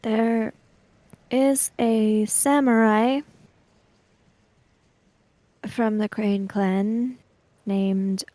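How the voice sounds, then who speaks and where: A woman playing a tabletop roleplaying game, speaking improvised dialogue in a measured, deliberate way with halting phrases. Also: medium pitch, warm tone, no echo.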